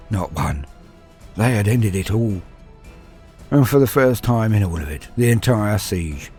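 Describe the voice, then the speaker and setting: Man, very low voice, storyteller host, grave, measured, dramatic pauses. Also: a little mellow. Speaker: narrator and channel host.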